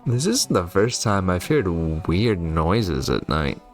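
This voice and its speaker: A man's voice: deep voice, big pitch swings, takes it slowly, soft background music, exaggerated voice. A man voicing a curious, whiny young boy in a horror game, plaintive and questioning. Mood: complaining.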